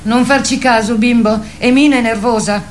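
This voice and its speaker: An actress playing a grown female wild goose in a radio drama, calm, reassuring and dreamy. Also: fairly high pitch, normal speed, slight background noise, lightly acted. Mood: calm, explaining.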